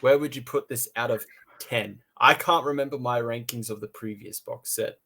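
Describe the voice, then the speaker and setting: Enthusiastic man in halting fan chat. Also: medium pitch, vocal fry, bright, forceful tone. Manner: enthusiastic, joking, halting with repeated words. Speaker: podcast co-host and reviewer. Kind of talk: casual fan review chat.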